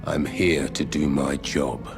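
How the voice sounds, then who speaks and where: Super deep gruff warrior ex-con, terse and stoic, fantasy video game. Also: male voice, steady tone, slow pace, over music, exaggerated voice. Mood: terse, guarded. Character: gruff, terse male warrior, hardened ex-convict.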